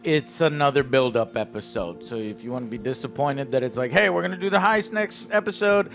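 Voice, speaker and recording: A man's voice: medium pitch, brisk, creaky, a bit bright, faint music behind. A man giving casual, wry review commentary on a TV episode. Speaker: online show reviewer.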